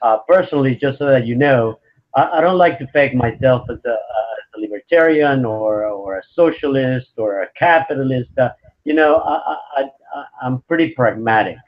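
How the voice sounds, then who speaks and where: A man's voice: mid-range voice, moderate pace. Man in his fifties, lo-fi sound. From Venezuela. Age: 50s. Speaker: interview guest. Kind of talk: conversational interview answers.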